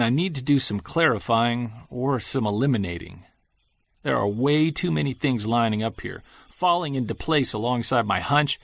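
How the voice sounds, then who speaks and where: An actor playing an earnest, excitable Bigfoot researcher, musing to himself as he records a field log in an audio drama. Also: medium pitch, vocal fry, slight background noise. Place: outdoors in wilderness sound setting, handheld recorder.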